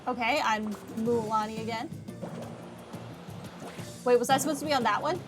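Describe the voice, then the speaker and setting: A woman streams a game in a fairly high voice. Her commentary is casual, with dry, offhand reactions, and music is clearly audible behind her. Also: moderate pace. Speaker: gaming streamer. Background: video game music.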